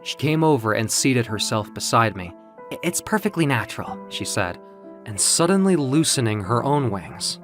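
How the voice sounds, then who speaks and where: Casting: male narrator, medium pitch, highly animated and emotive, scripted first-person storytelling over music. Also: normal speed.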